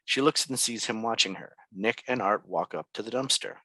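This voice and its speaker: A man's voice: lowish voice, fairly quick, bright, forceful tone, no room sound, poor audio. A man reading out scene descriptions as the narrator of a script. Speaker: stage directions narrator.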